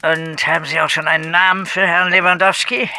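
A man playing a weary, evasive police investigator in a crime radio play, gruff and blunt, with a husky, gravelly voice. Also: on the high side, flat delivery, brisk, pushed and bright. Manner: blunt, hesitant, throat-clearing. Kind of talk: German crime audio drama dialogue.

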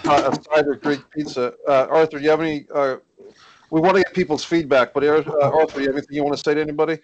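A man's voice: fairly high pitch, level tone, quick pace, dull audio, background noise. A man hosting and narrating a screenplay table read over a video call. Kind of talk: reading stage directions, casual moderating.

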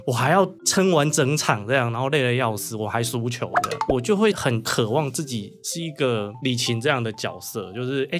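Mid-pitched Taiwanese man in an interview. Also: fairly bright tone. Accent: Taiwanese Mandarin. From Kaohsiung, Taiwan. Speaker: guest novelist. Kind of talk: casual interview talk.

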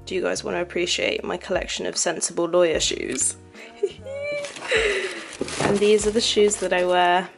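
A woman's voice: mid-range voice, huge pitch swings, measured pace, creaky, pauses often, over music. A young woman in her twenties chatting casually and self-deprecatingly to the camera in her small bedroom, laughing at herself. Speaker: vlogger. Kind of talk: casual vlog chat. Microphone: camera mic at arm's length.

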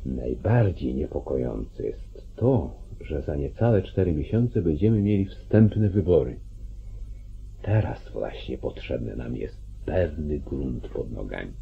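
An actor playing a calculating, commanding judge and politician in an old radio play, speaking deliberately. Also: male voice, a bass voice, expressive, gravelly, husky, faint music behind, heavily acted. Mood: stern, weighty. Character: calculating, domineering small-town judge, older male politician. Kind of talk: mid-century Polish radio play acting. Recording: muffled, narrow-band 1940s radio recording.

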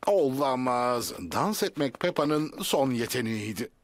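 A man's voice: mid-range voice, lively intonation, unhurried. A man narrating a preschool cartoon in storybook style, with music behind him. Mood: cheerful narration.

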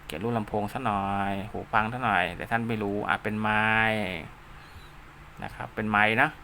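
Male DIY tutorial host: bright mid-pitched voice, informal and repetitive, throws in spoken sound effects, slightly noisy recording. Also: fairly even intonation, fairly quick, gravelly. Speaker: host of a DIY radio repair channel. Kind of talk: informal hands-on tutorial, talking while drawing diagrams.

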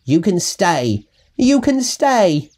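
A man's voice: high voice, big pitch swings, takes it slowly, a little mellow, voice pushed up, full character voice. A man voicing a bossy, grumpy grey female bird in an emphatic, sing-song storybook rhyme. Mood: cheerful, welcoming.

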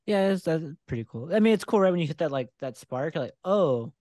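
Relaxed man hosting a podcast, dry sound. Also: fairly high pitch, brisk, no room sound. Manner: relaxed, candid, lots of "like". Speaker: podcast host, photographer. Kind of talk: casual interview. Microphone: headphones and a desk microphone close up.

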